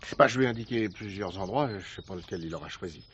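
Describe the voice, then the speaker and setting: An actor playing a gruff, earthy village father in a stage play, speaking colloquially and in an agitated way. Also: low-pitched, expressive, fast talker, a bit bright, lo-fi sound, a little hiss or hum. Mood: flustered, polite. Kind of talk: French stage play dialogue, naturalistic.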